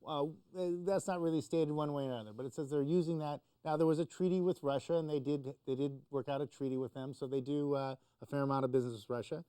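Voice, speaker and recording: A man's voice: fairly high pitch, fairly even intonation, fast talker, few pauses, mellow, clean tone, dry recording. A man explaining the news conversationally as a talk show commentator, in a hesitant, informal way.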